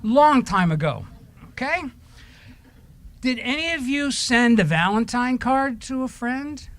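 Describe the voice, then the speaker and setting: A man speaks very slowly to a group of children during a church service. He asks simple questions, echoes their answers, and reads short letters aloud. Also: very high-pitched, sing-song, background noise. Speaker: leader of a children's story time in church.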